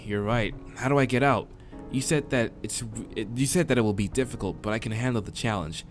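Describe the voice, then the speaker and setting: A man's voice: mid-range voice, fairly quick, natural voice. Man reading as weary stranded human, music behind. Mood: weary, sceptical. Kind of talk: visual novel dialogue and first-person narration read aloud.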